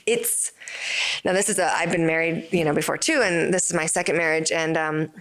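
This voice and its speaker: A woman's voice: low voice, steady tone, fairly quick, a bit bright, low-quality recording. A woman in her forties hosting an interview, chatting casually and encouraging her guest with frequent affirmations. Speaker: host.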